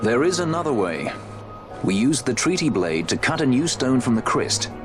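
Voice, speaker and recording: An actor playing a suave, sceptical airship rogue in a video game, speaking in a dry, sardonic, urbane way. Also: male voice, medium pitch, big pitch swings, normal speed, music in the background, close to an everyday voice. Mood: cool, sceptical. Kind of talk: dramatic video game cutscene acting. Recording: game score behind dialogue.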